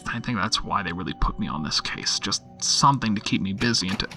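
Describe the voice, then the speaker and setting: A man's voice: low-pitched, expressive, quick pace, music in the background. An actor playing a skeptical, weary male police detective who muses dryly aloud in an audio drama. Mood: weary resigned. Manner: dry, grumbling, musing aloud. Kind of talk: audio drama, naturalistic monologue into recorder.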